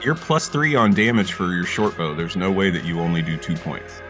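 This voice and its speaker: A man with a notably low voice talks casually as a player in a tabletop game. He is dry and teasing, and faint music plays behind him. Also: big pitch swings, moderate pace.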